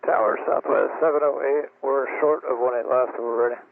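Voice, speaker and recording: A man's voice: mid-range voice, fairly even intonation, fairly quick, creaky, narrow, tinny audio. A man speaking as an airline pilot in brief, clipped readbacks over aviation radio. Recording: thin crackly cockpit radio, faint static.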